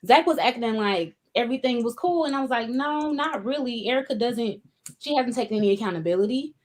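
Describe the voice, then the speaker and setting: A woman's voice: fairly high pitch, animated, brisk. A woman co-hosting a casual live-stream chat, candid and chatty with many asides.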